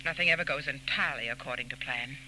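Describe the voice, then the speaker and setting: A calculating society lady of crime in a 1940s radio drama. Her voice is piercingly bright and imperious, with composed, condescending delivery. The old recording has a light hum. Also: rumbling low, fast talker, full character voice, low-quality recording. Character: cool, calculating society woman secretly running robberies.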